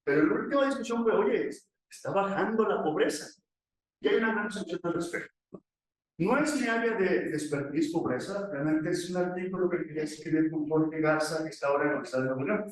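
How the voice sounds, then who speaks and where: A man with a fairly high voice presents research at an academic conference. He explains step by step, often putting questions to the listeners and answering them. The room is very reverberant and the microphone sits away from him. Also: brisk. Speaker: academic economist presenting a paper. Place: echoey conference session room. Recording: very echoey room, distant microphone.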